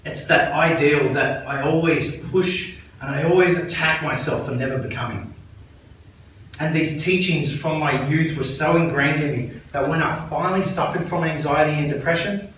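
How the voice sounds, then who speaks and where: A man in his thirties with an Australian accent, giving a measured, earnest personal talk to an audience as a volunteer speaker, with light self-deprecating humour. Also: a little high, steady tone, a bit bright, slight background noise. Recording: echoey room, speaker far from mic.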